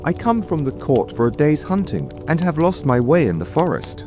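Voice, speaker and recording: A man's voice: a little high, sing-song, moderate pace, pitched above the performer's own voice. A man voicing a good-natured, earnest cobbler in a fairy tale, courtly in manner, over music. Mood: courteous.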